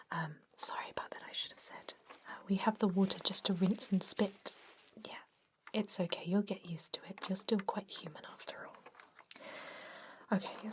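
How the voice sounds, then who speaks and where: A woman whispering an ASMR roleplay as a gentle, reassuring doctor, breathy and mostly unvoiced, giving step-by-step instructions. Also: medium pitch, slight background noise. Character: calm, reassuring female vampire doctor examining a patient.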